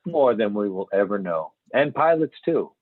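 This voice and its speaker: A man playing an earnest, assured UFO scientist in an amateur script reading, explaining emphatically with some halting stumbles. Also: lowish voice, big pitch swings. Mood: firm, insistent. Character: male expert scientist investigating UFO reports, earnest and assured. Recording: tinny phone-like conference call, echoey room.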